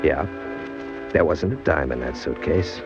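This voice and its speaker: A man's voice: rumbling low, measured pace. An American actor playing a condemned small-time crook in a 1940s radio crime drama, telling his story in hard-boiled slang with bitter, wry resignation. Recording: dull old radio recording, loud music behind.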